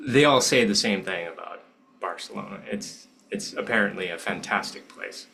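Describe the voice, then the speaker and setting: A man's voice: on the low side, moderate pace, gravelly, sharp tone, slightly rough. A man hosting a podcast interview, asking probing questions and checking in with his guest. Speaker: podcast host.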